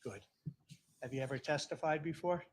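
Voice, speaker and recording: A man working as defense attorney in a courtroom, questioning a witness in a gentle, reassuring way. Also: fairly high pitch, normal speed, a little hiss or hum.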